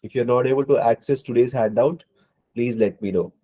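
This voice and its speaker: A man's voice: mid-range voice, a little fast, dark tone. Man with a cold teaching online. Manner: hesitant, many fillers, repeated apologies. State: hoarse from a cold. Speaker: IELTS instructor. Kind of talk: live online class teaching.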